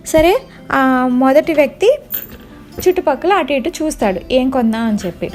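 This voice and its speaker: A woman telling a bedtime story to children in an animated way, addressing them directly and mixing in English words. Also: high-pitched, normal speed. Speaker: storyteller and channel host. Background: faint music.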